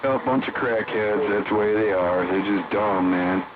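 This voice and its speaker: A man's voice: on the low side, moderate pace. Through heavy radio static comes an older American man chatting on CB. His voice is squeezed into a thin, narrow band. He rambles casually in a folksy, blunt, salty manner. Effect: CB single-sideband radio. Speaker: amateur CB radio operator.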